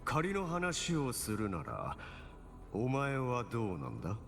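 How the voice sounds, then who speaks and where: An actor playing a smug shapeshifting villain cleric in a game, composed, mocking and theatrical. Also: male voice, mid-range voice, wildly swooping pitch, brisk, creaky, a bit bright, exaggerated voice. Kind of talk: Japanese game voice acting. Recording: long hall reverb, game music behind. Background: game music.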